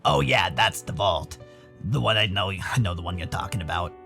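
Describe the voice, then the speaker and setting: A man voicing an awkward, anxious furry animal housemate in a visual novel, with a low gravelly voice and hesitant manner. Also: moderate pace, pushed and bright, exaggerated voice. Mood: concerned. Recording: music behind the voice.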